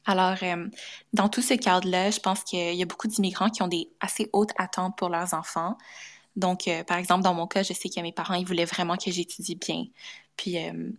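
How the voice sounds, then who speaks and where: Low, flat-voiced young Québécois woman. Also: a little fast. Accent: Québécois French. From Canada. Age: young adult. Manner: thoughtful, many fillers and restarts. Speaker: medical student guest. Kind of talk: casual interview talk.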